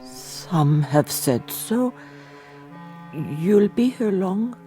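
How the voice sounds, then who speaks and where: A performer playing a guarded, sombre German village innkeeper, terse, reluctant and deferential, with quiet disapproval. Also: mid-range voice, big pitch swings, drawn-out words, soft background music. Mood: guarded, polite. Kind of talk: radio drama acting.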